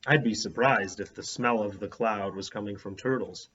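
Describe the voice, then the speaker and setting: A man reading a children's book aloud as an expressive storyteller. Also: on the low side, normal speed, slightly rough, highs cut off.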